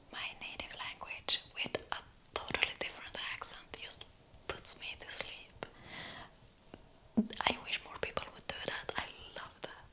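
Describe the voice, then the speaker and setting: A young woman with a Swedish accent whispering softly in an ASMR question-and-answer video, unhurried, with small hesitations. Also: medium pitch. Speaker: ASMR creator.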